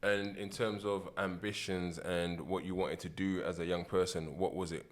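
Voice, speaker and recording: A man's voice: low-pitched, level tone, moderate pace. A man hosting a casual interview, laid-back and encouraging, answering with frequent yeahs. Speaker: interviewer, host.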